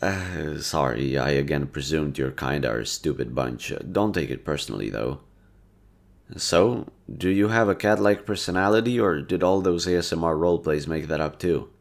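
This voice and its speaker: A young man in an audio roleplay, talking to a listener in a soft-spoken, laid-back and teasing way. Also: very deep voice, expressive, moderate pace, gravelly. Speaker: voice actor.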